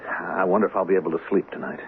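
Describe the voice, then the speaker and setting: A man's voice: low-pitched, steady tone, racing, creaky. An actor in a radio drama playing a modest, earnest male senator, ambitious yet doubtful, with a questioning, mildly wry manner. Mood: bemused. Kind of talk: old-time radio drama acting. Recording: muffled, noisy old radio broadcast.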